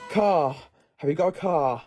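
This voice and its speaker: A man playing a brisk, eccentric time-travelling professor in an audio drama, musing and hesitant yet commanding. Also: on the high side, dark tone, soft background music, natural voice. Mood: urgent.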